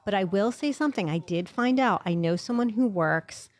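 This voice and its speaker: A woman's voice: medium pitch, lively intonation, normal speed. A woman hosting a casual podcast chat, blunt and joking, swearing freely, talking into a mic held off to the side. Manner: blunt, swears, jokes and laughs a lot. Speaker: podcast host. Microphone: studio mic held off to the side.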